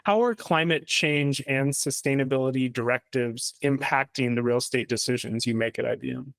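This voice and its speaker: A man's voice: mid-range voice, moderate pace, creaky, a bit bright, dry recording. A man in his thirties hosting a podcast, asking scripted interview questions in a polished delivery from a home office. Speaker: podcast host.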